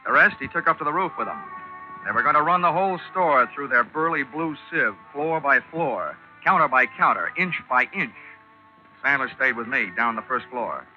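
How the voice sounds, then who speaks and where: An actor playing a wisecracking, hardboiled male investigator in a 1940s radio drama, with a wry, deadpan, tough manner. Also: mid-range voice, normal speed, faint music behind, lightly acted. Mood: wry narrating. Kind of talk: 1940s radio detective narration and acting. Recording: dull old 1940s radio recording.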